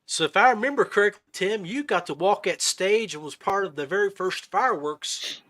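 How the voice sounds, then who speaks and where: A man's voice: high-pitched, lively intonation, brisk, a bit bright. A man hosting a podcast in a casual chat, with a folksy manner, often rephrasing his questions as he goes. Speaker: podcast host. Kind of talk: casual chat interview about fishing.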